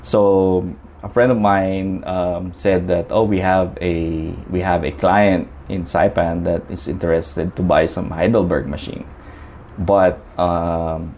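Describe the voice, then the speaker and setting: Low-voiced man from the Philippines. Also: darkish tone, a little hiss or hum. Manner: chatty, many fillers and restarts. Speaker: guest. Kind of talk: casual storytelling interview.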